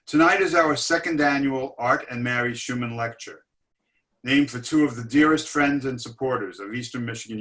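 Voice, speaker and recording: A man speaking as an academic director, giving a formal, scripted introduction to an online lecture in measured phrasing. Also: medium pitch, pushed and bright. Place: online webinar.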